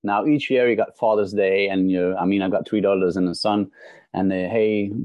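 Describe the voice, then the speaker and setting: A middle-aged man from Belgium, a guest on a podcast interview, telling a story in an animated way and often repeating words as he starts a sentence. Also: low voice, moderate pace, no room sound. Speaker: guest, motivational speaker. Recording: dry, dull lo-fi sound.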